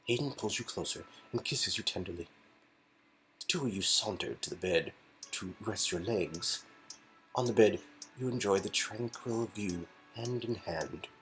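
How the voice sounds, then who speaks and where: A man's voice: lowish voice, measured pace, fairly bright tone, a little hiss or hum, poor audio. A man reading an interactive game aloud, mixing story narration with casual asides. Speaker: reader performing an interactive game.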